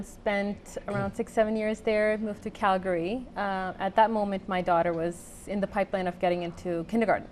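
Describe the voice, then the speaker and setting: A woman's voice: mid-range voice, darkish tone, a little hiss or hum. A woman from Pakistan whose first language is Urdu, answering interview questions cheerfully with many ums. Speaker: guest, chapter vice-president. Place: TV studio.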